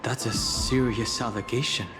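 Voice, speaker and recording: A man playing a calm, thoughtful, courteous young companion in a video game, with deliberate, formal phrasing. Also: mid-range voice, lightly acted. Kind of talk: scripted game dialogue. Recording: long hall reverb, music underneath.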